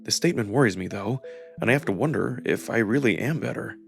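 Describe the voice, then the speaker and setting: A man's voice: fairly low pitch, animated, fast talker, vocal fry. A man narrating a first-person story dramatically, as a voice performer reading a visual novel. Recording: music behind the voice.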